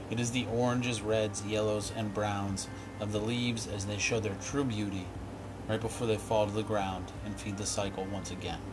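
A hoarse man with a low voice reads a nostalgic essay for his cooking podcast, taking his time over each phrase. A constant hum of background noise sits under the recording. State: hoarse from illness. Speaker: podcast host, chef. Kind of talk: reading a written essay, then casual sign-off.

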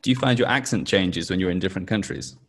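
A man's voice: deep voice, fast. A British man interviewing a former pupil over a video call, asking questions with plenty of ums. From Britain. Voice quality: hesitant, many ums, gentle questions. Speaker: interviewer, former homeschool teacher.

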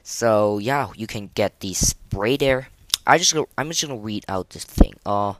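Low-pitched man, halting casual podcaster. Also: animated, dry recording. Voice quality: voice that cracks now and then. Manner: halting, repetitive, informal delivery. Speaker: podcast host. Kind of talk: casual unscripted gaming podcast.

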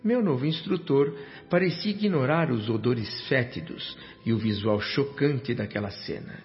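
Actor as an earnest doctor narrator, middling pitch. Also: male voice, a little slow, close to an everyday voice. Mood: awed, reflective. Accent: Brazilian. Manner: earnest, formal, reflective. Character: earnest male doctor spirit, humbled newcomer recounting his experiences. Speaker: actor and first-person narrator in a radio drama. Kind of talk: dramatic first-person narration, radio drama. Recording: dull lo-fi radio drama with music bed.

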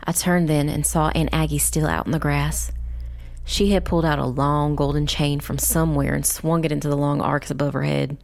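A woman playing a young witch who narrates a dark fantasy audiobook in a grave, measured, dramatic way. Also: deep voice, fairly even intonation, vocal fry. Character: young witch narrator recounting her family's ordeal, grave. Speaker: first-person narrator.

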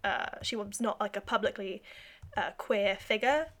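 A young British woman chatting casually with a co-host, thoughtful, and pausing as she searches for words. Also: mid-range voice, a bit bright. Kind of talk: casual chat.

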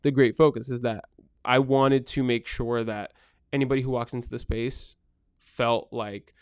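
This is a man from Brazil, talking as a guest about his restaurant in a relaxed interview. He speaks earnestly and reflectively, often circling back with "you know." His voice sits at a middle pitch, and the recording is dry and close. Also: normal speed, clean tone, dry recording. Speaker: restaurant owner, podcast guest. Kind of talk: conversational interview.

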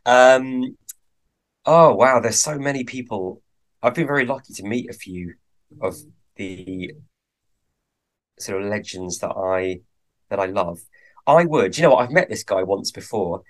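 A man's voice: fairly low pitch, measured pace, pauses often, rough recording. A man co-hosting a podcast, chatting casually with a hesitant, rambling manner and many fillers. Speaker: podcast co-host.

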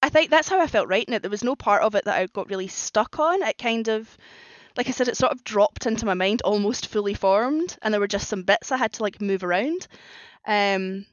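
A woman's voice: mid-range voice, animated, fairly quick, no echo, highs cut off. A woman guest on a podcast talking casually and chattily about her own writing, explaining as she goes. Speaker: podcast guest, fan fiction writer.

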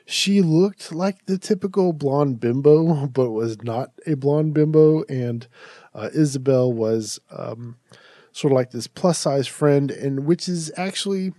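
A man hosts a casual horror review podcast, his voice mellow and a little nasal, like he is stuffed up from allergies. He talks in a chatty, rambling way, restarting his sentences as he goes. The sound is close and dry, with no room around it. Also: fairly high pitch, unhurried, no echo. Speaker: podcast host.

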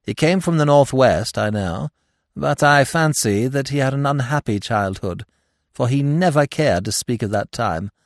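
A man's voice: medium pitch, animated, moderate pace, dry recording, studio quality, pitched above the performer's own voice, natural voice. A man reading an audiobook, voicing a grieving, dignified middle-aged widow who speaks in a reserved, composed way. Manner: reserved, composed, breaking into sobs.

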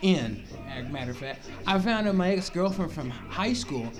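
Polite young guest with a slightly higher voice. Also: male voice, animated, crowd noise. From Texas and Mississippi, US. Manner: polite and measured, wry understatement. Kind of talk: casual chat.

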